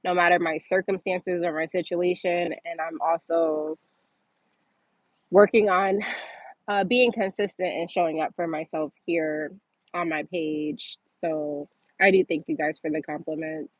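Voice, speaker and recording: A woman's voice: on the low side, fairly even intonation, unhurried, dry recording, muffled recording. A woman from Massachusetts hosting a casual livestream, chatting informally as she reads and answers viewer comments. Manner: informal, chatty, often laughing as she talks. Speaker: livestream host.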